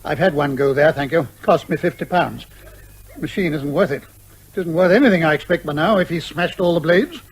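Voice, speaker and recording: An actor playing an indignant, short-tempered major, peremptory and spluttering, in a comic radio play. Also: male voice, on the high side, fairly quick, slightly rough. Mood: scornful. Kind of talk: comic radio drama acting.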